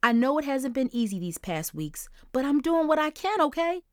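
A woman's voice: high voice, sing-song, moderate pace, no echo, studio quality, pitched above the performer's own voice. A woman voicing a worried mother who keeps pressing her daughter with concerned questions. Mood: insistent.

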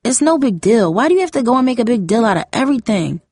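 A woman's voice: mid-range voice, sing-song, brisk, slightly rough, dry recording, clean studio sound. A woman voicing a defiant, defensive teenage girl with a heated, slangy way of talking, in a story reading. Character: defiant, defensive younger sister, a school cheerleader.

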